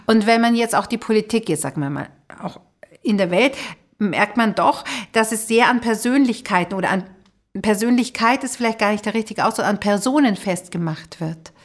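A woman hosting a reflective podcast conversation about her work, speaking thoughtfully and haltingly as she thinks aloud. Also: mid-range voice. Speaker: podcast host and theater maker.

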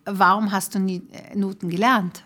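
A woman's voice: mid-range voice, steady tone, moderate pace, a bit bright. A woman hosting an interview, asking probing questions in an informal conversational way. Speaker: interview host.

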